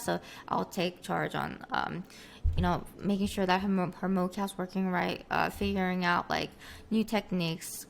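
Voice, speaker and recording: Soft-spoken young woman. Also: on the low side, creaky, slight background noise. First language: Korean. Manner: soft-spoken, halting, many fillers, laughs often. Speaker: interview guest, streamer and engineer. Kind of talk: casual video call interview. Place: small home streaming room. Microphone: headset with desk mic on video call.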